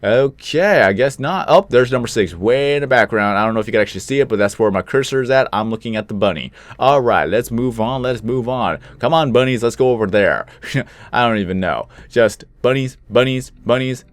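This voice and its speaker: A young man with a deep, low-toned voice, slightly sick with some phlegm, giving rambling, easygoing commentary while he plays a game. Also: expressive, brisk, no echo. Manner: rambling, easygoing, chuckles at himself. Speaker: gaming channel host. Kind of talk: casual gameplay commentary.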